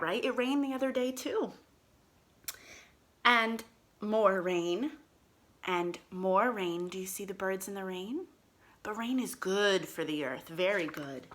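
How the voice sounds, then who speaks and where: A woman reading aloud to young children as a storytime host, expressive and sing-song, speaking to them directly with questions and encouragement. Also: mid-range voice.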